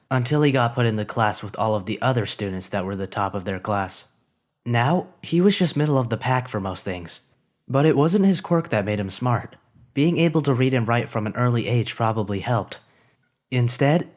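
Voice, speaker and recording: A man narrating a fan fiction story in an even, steady storytelling manner. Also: medium pitch.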